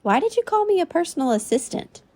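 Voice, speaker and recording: A woman's voice: extremely high pitch, fast talker. A woman playing a female AI voice assistant, speaking politely and evenly. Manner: polite, even, informative. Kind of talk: comedy podcast sketch.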